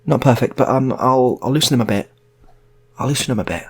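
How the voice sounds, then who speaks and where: Husky British man improvising a story. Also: mid-range voice, lively intonation. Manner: halting, repeats phrases. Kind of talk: improvised comic storytelling, casual and rambling.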